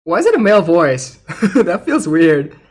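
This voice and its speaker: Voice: medium pitch, sing-song, a little slow, darkish tone, slightly rough. A streamer giving casual, conversational commentary on a game during a livestream. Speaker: streamer, host.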